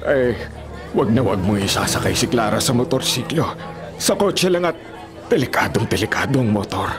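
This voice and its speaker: Over music and bustle, a scheming older mayor played by a man talks in a lowish, very animated voice. He is condescending and falsely genial, a radio drama politician making pointed remarks. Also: moderate pace, crowd noise, lightly acted. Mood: smug.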